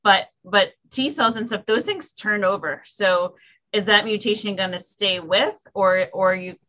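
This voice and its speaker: A woman's voice: mid-range voice, unhurried, fairly bright tone. A woman researcher answering questions on a webinar video call, hesitant with many fillers and restarts.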